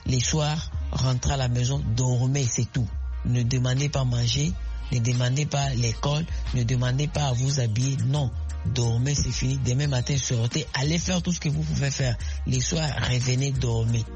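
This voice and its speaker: A young man from Congo with a Congolese French accent holds forth on a radio call-in, his voice sitting at an ordinary middle pitch. He speaks in an oratorical way, repeating phrases and piling up rhetorical questions. The sound is a radio broadcast with faint music behind him. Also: fairly even intonation, moderate pace, a little mellow. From Congo (DRC). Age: young adult. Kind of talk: impassioned opinion on radio call-in.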